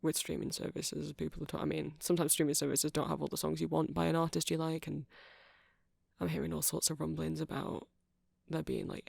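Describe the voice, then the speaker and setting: A man's voice: fairly high pitch, gravelly, no echo, clean studio sound. A man playing a nerdy vampire who keeps a music shop, rambling eagerly and apologetically in a casual ASMR roleplay. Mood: earnest rambling. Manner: hesitant, apologetic, excitable rambling.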